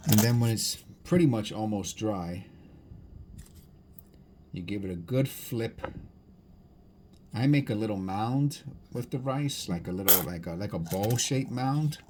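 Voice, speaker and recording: A man with a low voice talks in a loose, rambling way, as a hobby video host does while busy with his hands. He muses aloud, repeating and correcting himself as he goes. The recording carries a faint layer of noise. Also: expressive, a little slow, long pauses, darkish tone. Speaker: coin hunting video host. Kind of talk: rambling casual chat while sorting coins.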